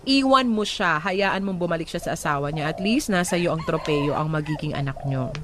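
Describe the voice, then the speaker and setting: A woman with a Filipino accent co-hosting a casual radio advice show, animated and teasing, mixing in English. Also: low voice, a little fast, background noise. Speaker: radio co-host. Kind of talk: casual radio advice banter, reading listener comments.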